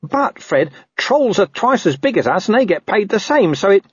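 Put-upon corporal for comic fantasy audiobook, man acting, high anxious wail, very animated, always trying to soothe. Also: normal speed, husky, no echo, highs cut off, full character voice. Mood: placating. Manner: high-pitched, flustered, wailing.

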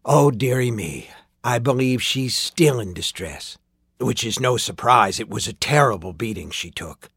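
A man's voice: medium pitch, expressive, measured pace, fairly bright tone, breathy edge, dry recording, clean studio sound, full character voice. A man voicing a sinister, mockingly courteous sorcerer in an audiobook story, with archaic, teasing speech that drops into whispers. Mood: mock concern.

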